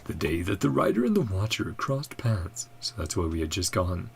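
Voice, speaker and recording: Female game streamer, casual reaction style; very low register, highly animated intonation, speaking in fragments. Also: normal speed, gravelly, a little hiss or hum. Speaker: gaming streamer reacting to a story quest. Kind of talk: casual game reaction commentary, murmured asides and read-along dialogue.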